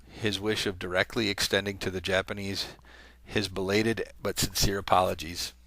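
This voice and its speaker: Bright-toned man reading aloud, dry close mic. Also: low voice, no room sound, rough recording. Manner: conversational, with hesitant fillers and self-corrections. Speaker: video channel host. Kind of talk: reading a letter aloud to viewers.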